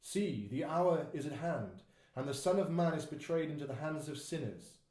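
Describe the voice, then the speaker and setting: A man voicing Jesus as a calm, grave teacher in a dramatised scripture reading, speaking in a measured, solemn way. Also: a little high, close to an everyday voice. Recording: echoey room.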